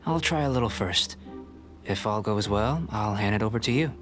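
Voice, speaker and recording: A man voicing a composed young alchemist in a video game, speaking in a measured, lecturing way. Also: lowish voice, animated, lightly acted. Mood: calm. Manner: measured, lecturing, coolly confident. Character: calm young male alchemist scholar, composed and aloof. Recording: studio game voice with music behind. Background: game music.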